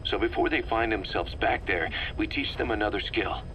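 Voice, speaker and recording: A man's voice: on the low side, fairly bright tone, low-quality recording. Strict army commander in a game briefing, hard tense edge to his voice, matter of fact, bit of tape noise behind. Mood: firm, instructive. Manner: brisk, matter-of-fact, clipped. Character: stern, pragmatic male military subcommander giving mission briefings. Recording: cassette recording with background noise.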